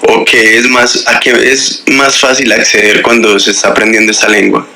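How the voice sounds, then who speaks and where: A man co-hosting a radio interview, asking a measured, searching question. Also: fairly low pitch, fairly even intonation, bright, forceful tone, a little hiss or hum.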